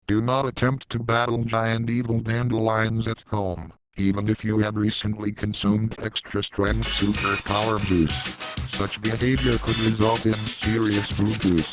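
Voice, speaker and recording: A man as a low-pitched, solemn announcer. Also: little pitch movement, a little slow, music in the background, natural voice, low-quality recording. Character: solemn official warning announcer. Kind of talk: mock-serious scripted disclaimer.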